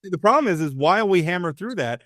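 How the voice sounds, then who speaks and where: A man with a fairly high speaking voice talks politics in a casual, opinionated way, stumbling over restarts as he builds his point. The sound is dry and close, with no room echo. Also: sing-song, fast talker, fairly bright tone. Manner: opinionated, frequent restarts and stammered repeats. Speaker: radio talk show host.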